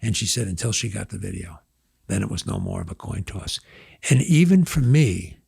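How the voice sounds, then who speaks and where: Hoarse, gravelly older man. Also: fairly low pitch, expressive, darkish tone, no echo, clean studio sound. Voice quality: gravelly, husky. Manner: reflective storyteller, halting with fillers. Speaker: university president. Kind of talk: conversational podcast interview.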